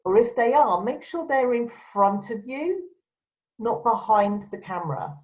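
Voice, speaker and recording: A woman's voice: a little high, lively intonation, measured pace. A woman presenter giving instructional advice to camera in a firm, direct, emphatic way. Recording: dull, lo-fi sound.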